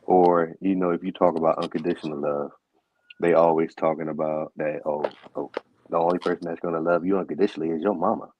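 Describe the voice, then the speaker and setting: Very deep-voiced man, flat delivery. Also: fast, highs cut off, noisy background. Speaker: podcast host. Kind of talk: casual chat.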